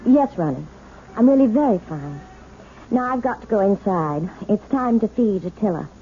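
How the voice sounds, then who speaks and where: An actress playing an outwardly sweet, secretly vengeful housewife in a 1970s radio drama, with a fluttery, coaxing manner. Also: female voice, medium pitch, expressive, normal speed, mellow, lightly acted. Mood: polite, flustered. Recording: muffled, noisy old radio recording.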